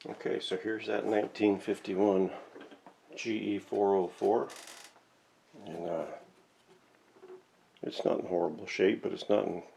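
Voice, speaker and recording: A man in his sixties with a low, gravelly voice hosts a casual hobby vlog from his workshop. He talks in a plain, matter-of-fact way, with some noise in the background. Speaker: host of a vintage radio restoration channel. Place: cluttered indoor home workshop.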